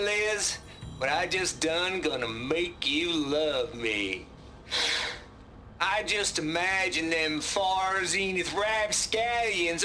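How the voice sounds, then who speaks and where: A man's voice: high-pitched, pushed and bright, full character voice. An actor playing a boastful, folksy male hacker who brags in rustic grammar in a video game. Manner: drawling, rustic grammar, gleeful.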